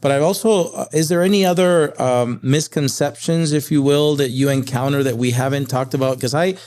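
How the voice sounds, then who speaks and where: A man's voice: medium pitch, brisk. A man from Peru hosting a podcast, asking questions with frequent fillers and reactions. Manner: warm interviewer, many "you know" and "uh" fillers. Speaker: podcast host. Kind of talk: interviewing a guest.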